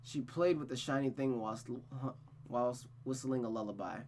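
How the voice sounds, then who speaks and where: A woman's voice: a bass voice, normal speed, darkish tone, a little hiss or hum. A woman streaming a visual novel, moving between story narration and casual commentary. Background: music.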